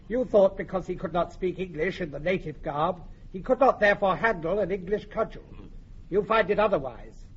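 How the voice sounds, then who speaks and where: Husky, high-voiced army captain. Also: male voice. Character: stern English army captain, plain-spoken and scornful. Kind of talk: classic Shakespearean radio acting. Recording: muffled old radio recording.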